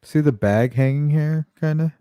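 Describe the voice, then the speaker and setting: A woman's voice: deep voice, moderate pace, dark tone, clear, resonant tone, no room sound, clean studio sound. A woman hosting a casual livestream chat, thinking aloud as she goes. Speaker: host.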